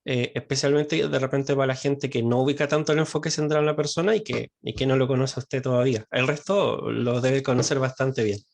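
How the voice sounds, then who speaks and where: A male interviewer builds his questions slowly, stumbling and repeating words as he goes. His voice sits at an ordinary middle pitch for a man. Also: fairly even intonation, vocal fry. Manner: halting, repeats words while forming questions. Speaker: interviewer, psychologist.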